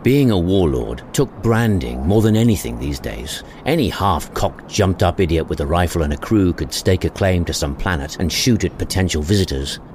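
A man with a very deep voice narrates an audio drama in a lively, swooping delivery. He tells it wryly, as scripted storytelling, over clear background noise. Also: moderate pace, hardly any pauses. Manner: wry storytelling. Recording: clearly noisy ambient bed behind voice.

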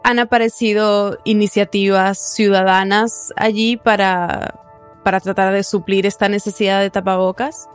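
A woman hosting a news podcast, narrating in a clear, explanatory way and asking follow-up questions. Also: medium pitch, level tone, moderate pace, soft background music. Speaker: podcast host.

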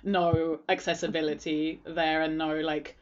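Guest, woman from Greece, fairly low voice, reflective, muffled lo-fi. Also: flat delivery, measured pace. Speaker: musician guest. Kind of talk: casual chat.

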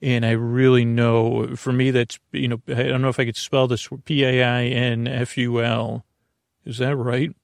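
Creaky-voiced man rambling at bedtime. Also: mid-range voice, flat delivery, no echo, clean studio sound. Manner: meandering, halting, trailing off mid-thought. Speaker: podcast host. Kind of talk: rambling bedtime monologue meant to lull listeners to sleep.

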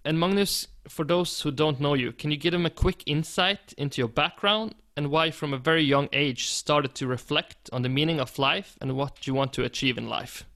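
A man's voice: on the high side, normal speed, hardly any pauses, fairly bright tone. A man hosting a podcast who gives an upbeat scripted introduction and then welcomes his guest. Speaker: podcast host.

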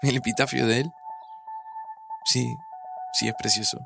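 A man's voice: medium pitch, fairly even intonation, measured pace, vocal fry. An actor with an Argentine accent playing a caring, earnest man in a naturalistic audio drama. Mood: tender, flustered. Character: caring, earnest man, old friend of a time traveller. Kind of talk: naturalistic audio fiction acting. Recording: music under the voice.

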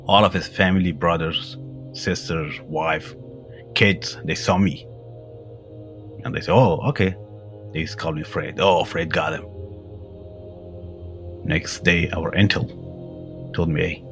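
Middle-aged Afghan man, deep voice, plain direct storyteller, Dari native. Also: a bit bright. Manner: plain, direct storytelling with uh fillers and simple grammar. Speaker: former interpreter, guest. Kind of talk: recounting personal story.

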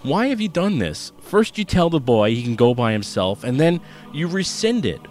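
A man doing an anxious, gentle mother. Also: on the high side, very animated, moderate pace, soft background music, pitched above the performer's own voice. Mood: upset. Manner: pleading, soft.

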